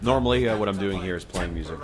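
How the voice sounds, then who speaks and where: A man's voice: low-pitched, fast. A man from New York hosting a live music stream, chatting casually and rambling with lots of "uh" and restarts. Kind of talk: casual chat reading viewer comments. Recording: live stream with loud music playing behind. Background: loud recorded Irish music.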